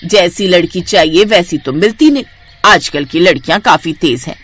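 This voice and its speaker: A woman's voice: on the low side, lively intonation, moderate pace. A woman voicing an anxious, gossipy middle-aged mother-in-law with a dramatic, grumbling manner. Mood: scheming, chatty.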